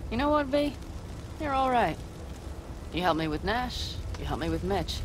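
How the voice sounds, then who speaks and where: A woman's voice: medium pitch, very animated, moderate pace, lightly acted. Fierce nomad girl played by an actress, blunt n proud, voice moves around a lot, game scene w noise behind. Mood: earnest. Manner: blunt, determined. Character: fierce, proud, loyal young nomad woman. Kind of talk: cinematic video game acting.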